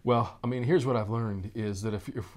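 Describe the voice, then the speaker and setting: A man's voice: on the low side, fairly even intonation, brisk, darkish tone. An American man in his forties giving informal, emphatic answers as an interview guest. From United States. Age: 40s. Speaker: interview guest, network marketing executive.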